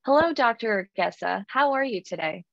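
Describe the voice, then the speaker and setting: A woman's voice: fairly high pitch, lively intonation, a little fast, vocal fry, fairly bright tone, no echo. A woman moderating a webinar, reading panelist introductions in a polished, formal manner. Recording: dull, dry webinar audio.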